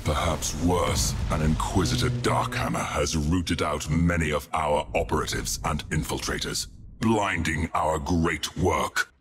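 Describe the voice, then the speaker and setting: A man playing a cold, calculating warlord of a dark fleet in a game cutscene, speaking in a measured, imperious way. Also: rumbling low, fairly even intonation, noisy background. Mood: grim, measured. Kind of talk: dramatic video game cutscene acting. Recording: music under the voice.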